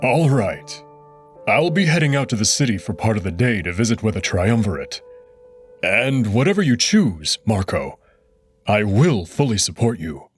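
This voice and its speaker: A man's voice: fairly low pitch, sing-song, moderate pace, soft background music. A man voicing a dutiful furry alien. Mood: stoic. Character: earnest male furry alien, formal and dutiful.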